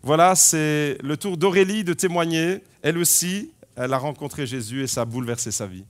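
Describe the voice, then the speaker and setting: A man leading a baptism service as pastor, joking warmly with the congregation between blessings. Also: a little high, fairly quick. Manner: jovial, joking, enthusiastic.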